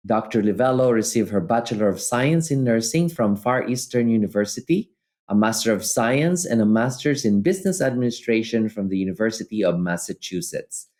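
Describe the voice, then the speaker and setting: Male podcast host, formal interview style, soft-edged and mellow voice. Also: fairly low pitch. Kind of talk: formal scripted introduction and interviewing.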